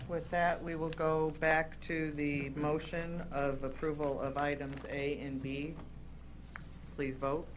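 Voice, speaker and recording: A man presiding over a public county board meeting, relaxed and informal, with many fillers. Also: fairly high pitch, slow pace, background noise. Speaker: meeting chair. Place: county legislative chambers.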